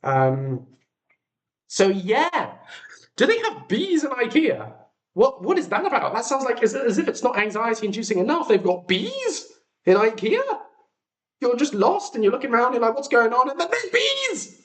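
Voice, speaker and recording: A young British man talks casually to his livestream viewers. His pitch swings widely as he goes, giving an enthusiastic, whimsical feel to the chat. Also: sing-song, normal speed, fairly bright tone. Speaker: livestream host.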